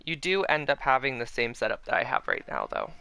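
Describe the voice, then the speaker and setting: A man's voice: medium pitch, huge pitch swings, fairly quick, gravelly, bright, forceful tone, no echo. A man casually chatting and explaining things in a laid-back way during a game livestream. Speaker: game streamer. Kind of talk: casual livestream chat over gameplay. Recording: game music behind the voice. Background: game music and effects.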